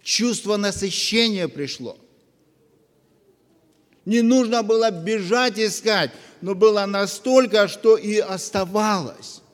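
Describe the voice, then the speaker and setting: A man preaching a sermon to his congregation, earnest and full of pauses. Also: high-pitched. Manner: slow, earnest, with long pauses. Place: church hall. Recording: long reverb of a church hall.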